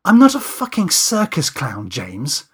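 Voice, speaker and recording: A man's voice: high-pitched, expressive, moderate pace, fairly bright tone, husky, pitched above the performer's own voice, exaggerated voice. A man voicing a sardonic, sweary female hair and makeup artist in an audiobook, challenging and sarcastic. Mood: indignant.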